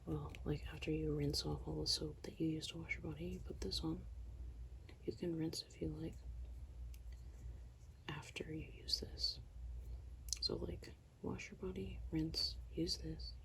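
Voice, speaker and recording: A soft-spoken ASMR host, whispering slowly through a product haul with a tired, strained voice. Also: mid-range voice, little pitch movement, vocal fry, slight background noise. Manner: mostly whispered, slow and hushed.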